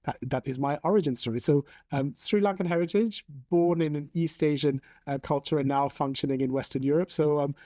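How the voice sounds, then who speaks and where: A man in his forties, raised in Hong Kong, speaking as an interview guest in a measured, thoughtful way with frequent ums. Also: a little high, warm tone, no room sound, clean studio sound. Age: 40s. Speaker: interview guest, storytelling coach. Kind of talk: conversational interview.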